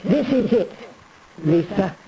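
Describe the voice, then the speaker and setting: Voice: mid-range voice, lively intonation, slow pace, music in the background, rough recording. A performer playing an imperious, aggrieved Tudor queen in a theatrical parody monologue, declaiming in a pompous, rambling way. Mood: declamatory, solemn.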